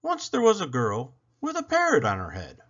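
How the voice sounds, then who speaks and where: A man narrating a children's picture book as a storyteller, with an expressive, deliberate delivery. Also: on the high side. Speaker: read-aloud storyteller. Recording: dull lo-fi recording.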